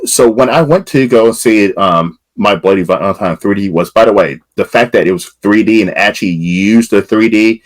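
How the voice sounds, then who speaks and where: Low-voiced man hosting a horror podcast. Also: moderate pace, few pauses, no echo, low-quality recording. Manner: enthusiastic, rambling questions with filler words. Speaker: podcast host. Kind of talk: casual interview questions.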